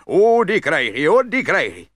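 An eager, gullible farmer from a storybook play. He blusters and stammers as if tipsy. His fairly high voice jumps around freely and sounds bright. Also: wildly swooping pitch, normal speed, no room sound, clean studio sound, heavily acted. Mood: pleading. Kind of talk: dramatised fairy tale acting.

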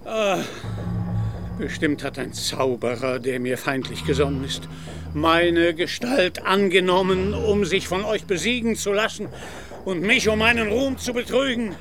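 Radio play knight-errant, grandiloquent, solemn, melancholy, proud, creaky edge, music behind. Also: male voice, sits high, measured pace. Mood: proudly defiant. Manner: grandiloquent, solemn, archaic courtly speech. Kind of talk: German radio play acting.

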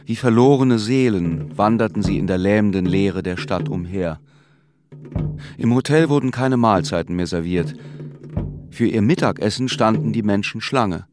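A man acts as a grave storyteller narrating a literary radio drama. His low voice is measured and sombre, with music clearly underneath. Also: close to an everyday voice.

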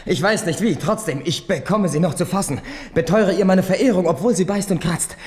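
An actor in a German radio drama playing a wounded, gallant, rueful courtier, plaintive and theatrical. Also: male voice, high-pitched, brisk, a little mellow, natural voice. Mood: pained, ashamed. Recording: long reverberant hall echo.

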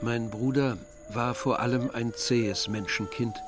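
An actor as a reflective narrator, giving measured literary narration in a radio drama. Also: male voice, mid-range voice, fairly even intonation, lightly acted. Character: reflective male narrator recalling village and brother. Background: music.

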